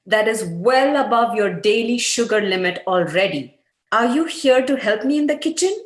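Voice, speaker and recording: A woman's voice: on the high side, lively intonation, normal speed, lightly acted. A woman in an amateur table read playing a firm, earnest doctor wife who scolds her husband about his health. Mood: firm, chiding. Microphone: video call. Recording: roomy, echoing video-call audio.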